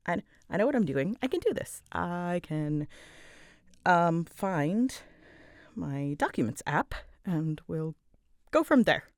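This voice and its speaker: A woman's voice: fairly low pitch, big pitch swings, slow pace, long pauses, dry recording, studio quality. A woman chatting casually and unscripted as she answers fan questions, rambling and self-deprecating, often breaking off mid-sentence. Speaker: audio roleplay creator answering fan questions.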